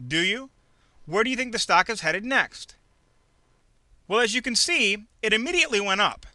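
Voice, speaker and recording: A man reading a scripted instructional narration in a clearly enunciated, measured, teacherly way. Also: high-pitched, sharp tone, no room sound. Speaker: narrator of an instructional trading video. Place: close dry voice-over recording.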